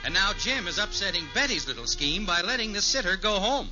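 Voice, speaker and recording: Male American narrator, high and lively, vintage scripted radio style, clear music bed, muffled sound. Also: brisk. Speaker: story narrator. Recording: dull old radio recording. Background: music underneath.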